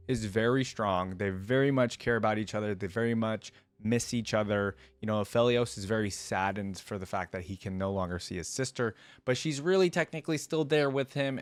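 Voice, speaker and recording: A man's voice: lowish voice, dry recording. A man hosting a reaction video, talking casually and unscripted to viewers in a rambling, musing way that often poses questions. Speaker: reaction channel host.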